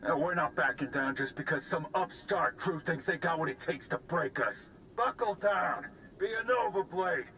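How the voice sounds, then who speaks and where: Forceful pirate commander. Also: male voice, fairly high pitch, lively intonation, normal speed, a little hiss or hum. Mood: defiant, commanding. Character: hard-bitten, bullying pirate commander. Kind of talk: video game voice acting.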